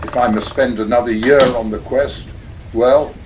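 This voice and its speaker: A man voicing Gutman, a suave and greedy villain with a smooth, pompous manner, in a community radio play. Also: medium pitch, fairly even intonation, normal speed, far from the mic, background noise, natural voice.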